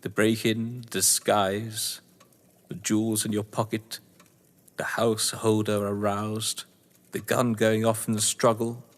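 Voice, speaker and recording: An actor playing a cold, gloating crime novelist and betrayed husband in an audio drama, speaking with eloquent, taunting menace. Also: male voice, lowish voice, level tone, unhurried, lightly acted. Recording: reverberant hall-like room.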